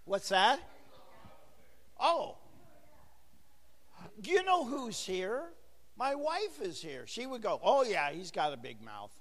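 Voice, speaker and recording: A man's voice: sits high, sing-song, takes it slowly, long pauses, fairly bright tone, long reverb tail. A middle-aged man preaching a sermon as pastor, conversational and joking with the congregation, full of rhetorical questions, on a microphone in a church. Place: church sanctuary. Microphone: handheld or lapel microphone, recorded for a booth.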